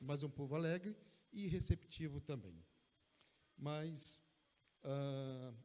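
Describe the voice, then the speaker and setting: A man from Brazil with a Brazilian Portuguese accent, preaching a sermon in a conversational way, often tossing in quick asides to check the congregation is with him. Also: mid-range voice, dark tone. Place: church sanctuary. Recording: long church hall reverb.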